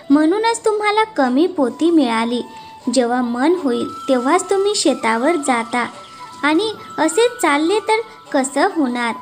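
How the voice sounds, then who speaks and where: A woman voicing an insistent, scheming village farmer's wife in an animated moral story. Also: sits high. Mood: scolding.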